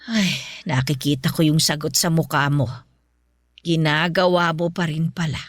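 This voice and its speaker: A woman playing a gentle, principled ailing mother in a Filipino radio-style drama, speaking with earnest, patient counsel. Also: sits low, fairly even intonation, moderate pace, no echo, studio quality, lightly acted. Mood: gently probing. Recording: music bed under the voice.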